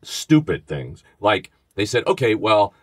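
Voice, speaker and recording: A middle-aged man with a strong Bronx New York accent tells his story with animated energy. His voice sits very low and sounds close and dry. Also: a little slow, no echo. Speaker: former FBI agent, podcast co-host. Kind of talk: casual storytelling conversation.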